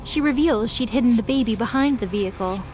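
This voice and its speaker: Woman, story narrator, scripted dramatic delivery, higher-pitched voice, noticeable background noise. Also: fairly quick, a little mellow, poor audio. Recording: clear background noise under voice.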